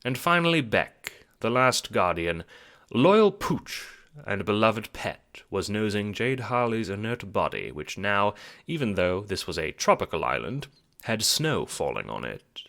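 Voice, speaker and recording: A man's voice: lowish voice, big pitch swings, measured pace, vocal fry, fairly bright tone. A man narrating a scripted audio drama in a theatrical, wry, rhetorical storytelling style. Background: music.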